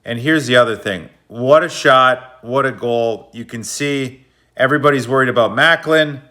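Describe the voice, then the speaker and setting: Guy walking thru hockey clips, loose and slangy, coach vibes, bright forceful voice. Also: medium pitch, normal speed. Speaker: hockey video analyst. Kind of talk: casual play-by-play breakdown of game footage.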